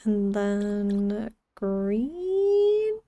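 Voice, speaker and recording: A woman's voice: medium pitch, big pitch swings, drawn-out words, dark tone, a little hiss or hum. A woman streaming a video game, chatting casually with her viewers as she plays. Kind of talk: casual chat with viewers during gameplay.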